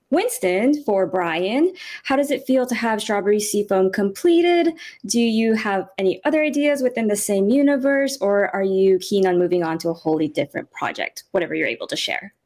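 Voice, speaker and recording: A woman's voice: mid-range voice, lively intonation, moderate pace, a little mellow. Upbeat woman hosting a casual panel. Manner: upbeat, encouraging. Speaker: panel host. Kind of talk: casual hosting, reading audience questions.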